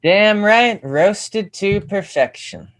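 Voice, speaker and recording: Male actor as hapless dreamer, aspiring writer, theatrical, excitable comedy character, screenplay table read. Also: high voice, takes it slowly, heavily acted. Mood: pleased, proud. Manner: theatrical, swinging between gushing and bleak.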